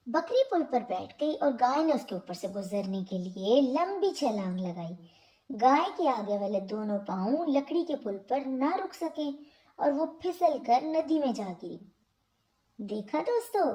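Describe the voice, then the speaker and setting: A woman narrating scripted moral stories for children in a deliberate storybook manner. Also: sits high, a little hiss or hum.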